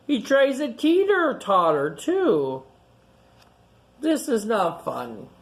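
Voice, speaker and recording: A woman doing a storytime for young children, reading and explaining in simple, repetitive words with gentle questions to her listeners. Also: mid-range voice. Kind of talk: picture-book read-aloud and simple chat to young children.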